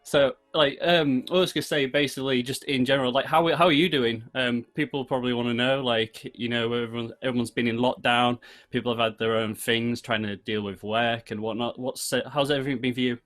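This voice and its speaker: A man's voice: medium pitch, steady tone, a little fast, a bit bright. A British man chatting casually as a podcast host in a rambling, jokey way. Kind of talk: casual chat.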